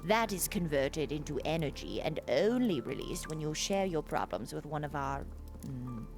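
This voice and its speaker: A woman playing a haughty, condescending councilor of an otherworldly realm in an audio drama, with formal, drawn-out phrasing. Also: low-pitched, big pitch swings, darkish tone, faint music behind. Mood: cool, superior.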